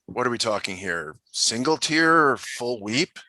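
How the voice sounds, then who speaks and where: A nosy, casual high school principal, played by a man in a comedy table read. The voice is bright and animated, with very mobile pitch. The sound is close and dry. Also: measured pace, no echo. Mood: casual, wry.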